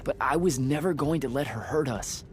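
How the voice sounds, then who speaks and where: An actor playing a young male battlemage, earnest and defensive, with a halting, stammering delivery in game cutscene style. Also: mid-range voice, lively intonation, soft background music, close to an everyday voice. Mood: flustered, pleading. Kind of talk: video game cutscene acting.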